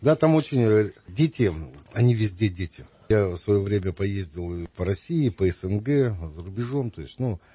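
Вдумчивый мужчина с низким глухим голосом. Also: male voice, fairly low pitch, expressive, normal speed, hardly any pauses, warm tone, slightly rough. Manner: earnest, reflective, many hesitations. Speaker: guest. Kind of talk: earnest conversational opinion.

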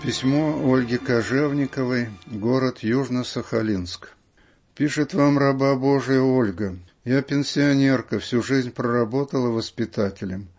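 Male radio narrator, average pitch, reading written letters aloud from a script. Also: a little slow, a little mellow, faint music behind. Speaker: radio reader of listeners' letters.

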